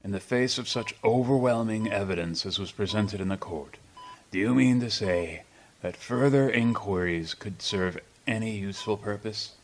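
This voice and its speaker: A man playing an ailing, proud old politician in a radio drama, speaking in formal, pompous, measured phrases. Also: on the low side, lightly acted, rough recording. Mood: stiff, aggrieved.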